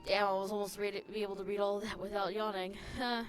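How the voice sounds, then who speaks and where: A woman's voice: mid-range voice, little pitch movement, quick pace, soft background music. A woman streamer giving casual live commentary on a video game and reading its text aloud.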